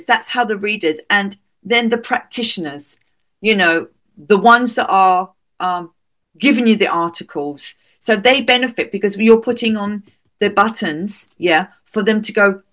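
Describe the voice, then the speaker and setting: A woman interviewing a guest, eager and encouraging, asking questions in halting restarts and praising the answers. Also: medium pitch, fairly bright tone. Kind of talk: casual interview chat.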